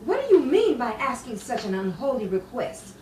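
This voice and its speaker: A woman's voice: a little high, very animated, normal speed, a little mellow. An actress playing an anxious young woman pleading with a suffering friend in melodramatic romantic drama style. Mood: distressed pleading. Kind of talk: 1950s-style romantic radio drama acting. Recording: roomy, distant, faintly noisy stage sound.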